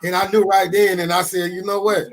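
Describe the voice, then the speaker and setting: A man in his fifties with a fairly high voice, talking loosely on a podcast. He stays animated and profane, stumbles over restarts, and keeps circling back into his stories. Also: a little fast. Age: 50s. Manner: animated, swearing, storytelling with restarts. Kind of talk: casual podcast talk.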